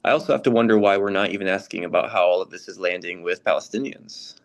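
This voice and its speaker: A man reading the part of a thoughtful, courteous church member in a scripted readers theater discussion, measured and polite. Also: low-pitched, vocal fry. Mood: gently challenging. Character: thoughtful, courteous male church member probing issues of justice. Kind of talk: scripted dialogue read as casual discussion.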